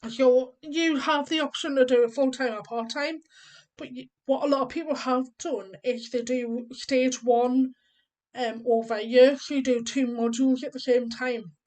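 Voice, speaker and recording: A woman's voice: sits high, steady tone, moderate pace, darkish tone. A woman in her thirties who is deaf and whose speech sounds a bit different, explaining things step by step in a chatty, rambling way with frequent ums. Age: 30s. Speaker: vlogger. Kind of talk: casual explanatory vlog.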